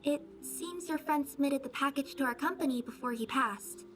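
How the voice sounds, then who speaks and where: A woman voicing a polite, gentle young delivery courier in a video game. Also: sits high, normal speed, over music, natural voice. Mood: gentle, consoling.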